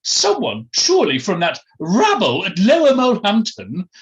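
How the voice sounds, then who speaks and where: An author voicing a haughty upper-class English woman with a high-pitched, squawky voice and drawn-out, gushing delivery in a story reading. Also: huge pitch swings, poor audio.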